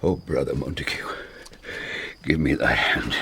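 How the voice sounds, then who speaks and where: Very deep, raspy grieving lord. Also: male voice, a little slow, bright, forceful tone, heavily acted. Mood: grieving, humbled. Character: grieving old nobleman, humbled, reconciling. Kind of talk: classical Shakespearean radio drama acting.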